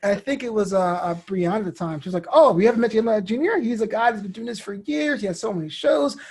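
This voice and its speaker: A man in his thirties telling stories as an interview guest, expressive and inclined to act out what people said. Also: sits high, fast talker, husky. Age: 30s. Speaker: guest, comedian and show host. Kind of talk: animated interview storytelling.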